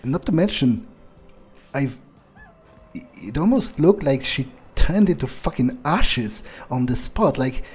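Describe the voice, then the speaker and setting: A man improvising in-character commentary on a video game, thinking aloud in a halting, sweary way with a husky, mellow voice. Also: medium pitch, big pitch swings. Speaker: gaming video player.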